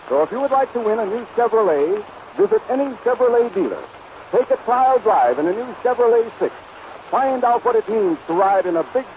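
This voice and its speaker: A muffled vintage radio recording with faint noise features a male announcer with a high voice. He reads scripted advertising copy formally and emphatically, in classic 1930s style. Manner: formal, emphatic, cleanly phrased sales delivery.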